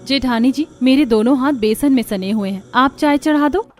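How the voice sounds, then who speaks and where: A young cartoon housewife, acted by a woman, high in pitch and full of grievance. She is a put-upon daughter-in-law who complains in an exasperated way. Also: moderate pace, slight background noise, lightly acted. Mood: polite, protesting. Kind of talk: Hindi family cartoon dubbing.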